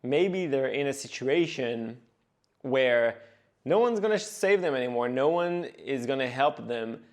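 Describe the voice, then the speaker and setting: A man, an animation director, giving earnest scripted devlog narration and slowing down for emphasis. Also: mid-range voice, animated.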